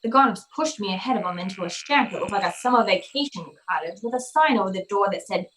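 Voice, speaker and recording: A woman's voice: medium pitch, lively intonation, fast talker, fairly bright tone, a little hiss or hum, lightly acted, poor audio. A woman playing a hardboiled, unflappable private eye in an old-time radio noir drama, with a tough, businesslike delivery. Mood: wry, steady narration. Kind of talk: old-time radio noir acting and first-person narration.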